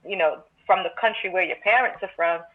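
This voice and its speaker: A woman's voice: lowish voice, a little fast. A woman from Brooklyn, a podcast guest, talking through her thoughts in a reflective, searching way over a remote call. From Brooklyn, New York, United States. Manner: thoughtful, reflective, talks through ideas with many restarts. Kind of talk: casual podcast conversation. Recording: tinny phone-like remote call line.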